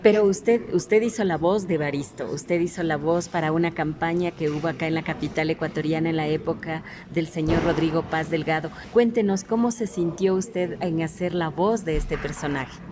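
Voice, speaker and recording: Woman interviewer, slightly low voice, formal respectful questions, clear crowd murmur behind. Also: normal speed, few pauses. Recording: location recording with crowd murmur.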